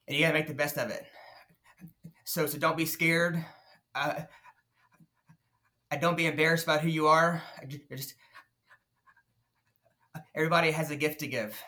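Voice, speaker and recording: Bright-voiced man who stutters. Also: fairly high pitch, fairly even intonation, normal speed, pauses often. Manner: stutters, many fillers and restarts. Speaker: podcast guest, nonprofit founder. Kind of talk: casual interview talk.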